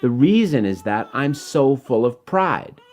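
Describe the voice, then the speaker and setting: Man voicing a slow, plaintive old ox. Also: medium pitch, very animated, a little mellow. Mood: sad, tearful. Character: grumpy, lonely old male ox with a softening heart.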